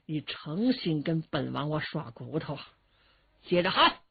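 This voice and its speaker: A woman storyteller voicing an impatient, menacing male bandit king with gruff, commanding taunts. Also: sits low, sing-song, unhurried, dry recording, highs cut off, pitched below the performer's own voice, full character voice.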